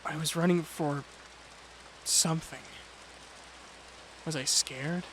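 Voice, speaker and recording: A man playing a reserved, dutiful young prince, narrating an audio drama in a measured, brooding way. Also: fairly high pitch, fairly even intonation, noisy background. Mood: brooding, reflective. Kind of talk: first-person audio drama narration with dialogue. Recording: music and rain behind the voice. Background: music and rain.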